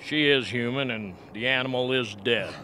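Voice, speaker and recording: An actor playing a strained, insistent scientist in a radio play, speaking emphatically and repeating himself. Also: male voice, mid-range voice, moderate pace, pushed and bright, slight background noise, natural voice. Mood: clinical. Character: male scientist reasoning under mounting suspicion, strained and insistent.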